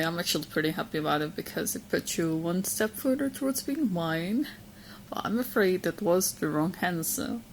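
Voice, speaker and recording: A woman's voice: lowish voice, a little mellow, slight background noise, poor audio. A young woman voicing a sweet, romantically obsessed witch girlfriend in an ASMR roleplay, playful and possessive as she speaks straight to the listener. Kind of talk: ASMR roleplay audio drama, spoken to the listener.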